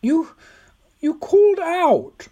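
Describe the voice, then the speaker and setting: A narrator voicing a little old Victorian woman in an audiobook, insistent, tender and delighted, with drawn-out phrases. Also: mid-range voice, big pitch swings, warm tone, voice pushed up. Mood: amazed, insistent. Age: older. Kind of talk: audiobook character voice.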